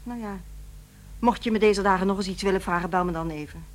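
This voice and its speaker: An actress playing an unsentimental middle-aged ex-wife in a radio play, matter-of-fact and impatient. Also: female voice, medium pitch, fast, close to an everyday voice. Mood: practical advice. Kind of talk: naturalistic radio play acting.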